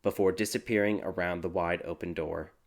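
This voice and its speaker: A man narrating a dark fairy tale audiobook in a dramatic, scripted storytelling style. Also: low voice, fairly even intonation, brisk, slight background noise. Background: background music.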